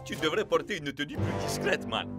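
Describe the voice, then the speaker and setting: Lively, level-headed cartoon teammate. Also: male voice, on the high side, brisk, loud music behind the voice. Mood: cool. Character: level-headed male football teammate giving advice. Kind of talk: French cartoon dub.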